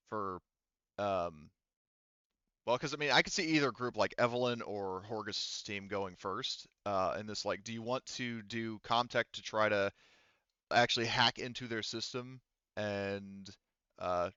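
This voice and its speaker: A man running a tabletop roleplaying game as game master, explaining things casually in a halting way. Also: lowish voice, pushed and bright, clear, resonant tone, no room sound, lo-fi sound.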